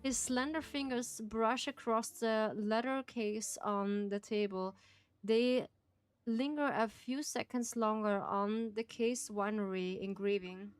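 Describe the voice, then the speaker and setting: A woman's voice: a little high, fairly even intonation, slow, clear, resonant tone, no room sound. A woman with a German accent, a native German speaker, streaming and reading a game aloud in careful phrasing with frequent laughs.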